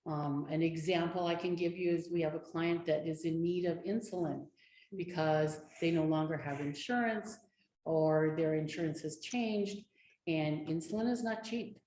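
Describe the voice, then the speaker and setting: A woman speaking as an advocate on a community panel, telling her story in an earnest, steady way. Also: low voice, moderate pace. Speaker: domestic violence advocate. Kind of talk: panel discussion. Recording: echoey room with faint noise.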